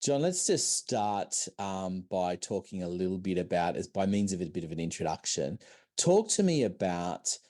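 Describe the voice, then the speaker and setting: A man's voice: sits low, very animated, moderate pace, warm tone. A man hosting a business podcast, asking loose, rambling questions in a casual way. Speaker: podcast host.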